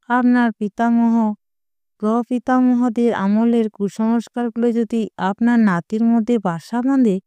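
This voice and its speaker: A woman's voice: a little high, warm tone, smooth, dry recording, natural voice. A woman storyteller voicing a stern, indignant young mother, lecturing emphatically in an audio story.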